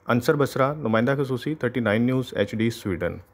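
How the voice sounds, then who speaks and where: A man reporting as a field correspondent, reading a formal news voice-over at an even pace. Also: medium pitch, brisk.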